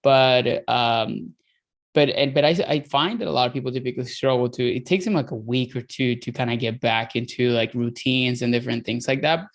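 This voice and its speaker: Venezuelan man, gravelly rambling talk. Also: medium pitch, brisk. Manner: rambling, many fillers and restarts. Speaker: guest, dietitian. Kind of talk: casual interview talk.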